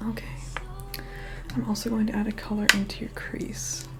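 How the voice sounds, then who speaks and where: A woman's voice: medium pitch, flat delivery, normal speed, natural voice. Snide whispering vampire woman played by an actress. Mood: snide, grudging. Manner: sarcastic, condescending, bossy, sighing. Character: snobbish, reluctant young female vampire giving a makeover. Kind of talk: whispered ASMR roleplay.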